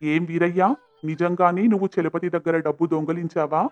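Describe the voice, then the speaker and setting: A man's voice: fairly high pitch, level tone, a little fast, a little mellow, dull audio, faint music behind, natural voice. A man voicing a stern, authoritative village headman in a cartoon moral story, firm and commanding. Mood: questioning.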